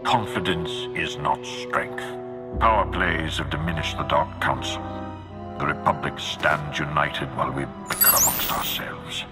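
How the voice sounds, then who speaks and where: A grim warlord of an empire at war, with an extremely deep voice. He speaks formally and gravely, with measured authority. Dramatic game music runs clearly behind him. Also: steady tone. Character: grim commanding dark lord of an empire at war. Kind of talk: scripted video game acting.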